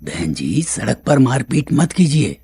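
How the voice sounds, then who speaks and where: A man acting a stern, authoritative middle-aged father with an Indian accent in an audio drama, measured and formally polite. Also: medium pitch, very animated, vocal fry, mellow, breathy edge, heavily acted.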